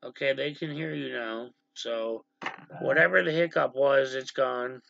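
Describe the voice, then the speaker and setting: A man with a gravelly voice hosting a casual livestream, talking animatedly and with exasperation to his chat. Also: medium pitch, dry recording. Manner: animated, exasperated, quick asides to the stream chat. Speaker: livestream host. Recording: slightly dull lo-fi stream audio.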